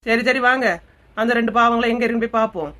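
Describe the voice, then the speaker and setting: A woman voicing a sardonic, nagging village woman in a comic cartoon, scolding briskly in Kanyakumari Tamil slang. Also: fairly high pitch, fairly even intonation, bright, forceful tone. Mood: wry, nagging.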